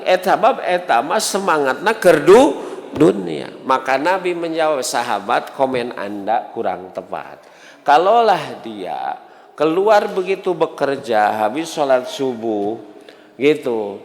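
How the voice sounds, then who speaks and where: A male Islamic preacher speaks in a reverberant hall, his voice on the high side. He has a Sundanese accent and addresses the congregation conversationally, posing rhetorical questions and acting out short dialogues. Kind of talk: sermon to a congregation. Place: large mosque hall.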